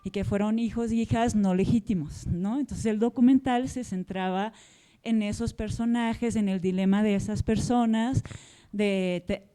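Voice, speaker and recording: Woman from portugal moderating a book panel, smooth mellow voice, reflective and kinda roundabout, lots of little check-in questions. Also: medium pitch. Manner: discursive, often adds tag questions. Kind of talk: reflective spoken question with personal anecdote at a public talk.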